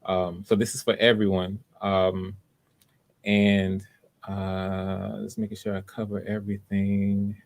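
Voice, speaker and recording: A man with a fairly low voice talks as the host of an online audition. His delivery is casual and encouraging, and he circles back to rephrase his points over a video call. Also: flat delivery, slow, vocal fry. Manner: encouraging, repeats and rephrases himself. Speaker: writer-director hosting live auditions. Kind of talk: casual unscripted hosting talk.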